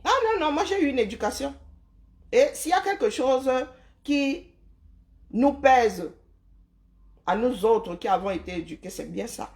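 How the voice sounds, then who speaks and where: A woman's voice: fairly high pitch, lively intonation, a little slow, long pauses, darkish tone. A woman from Cameroon, hosting a live stream and lecturing her viewers emphatically, with rhetorical questions and tag words like "hein". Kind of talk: opinionated live-stream monologue.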